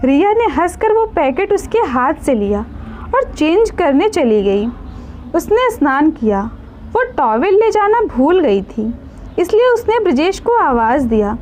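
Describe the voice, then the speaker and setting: A woman's voice: very high-pitched, very animated, a little slow, darkish tone, slight background noise. A woman narrating a scripted dramatic story as a storyteller.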